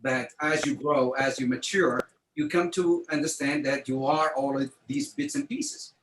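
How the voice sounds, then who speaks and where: Man, podcast host, casual storytelling, repeats words, restarts, slightly high voice, echoey room, faint noise. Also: expressive, moderate pace, fairly bright tone. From Tunisia. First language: French.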